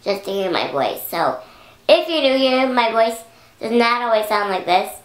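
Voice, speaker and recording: A young woman with a slightly high, raspy, hoarse voice talks straight to a close camera. She rambles in a chatty, friendly vlog style, full of "like"s. The room around her sounds echoey. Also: animated, moderate pace. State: hoarse from singing. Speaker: YouTuber. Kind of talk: casual Q&A chat to camera. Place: indoor room at home.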